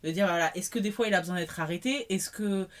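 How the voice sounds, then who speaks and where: A woman hosting a podcast, talking and asking questions in an expressive way. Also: fairly low pitch, fast. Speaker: podcast host. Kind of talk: interview conversation.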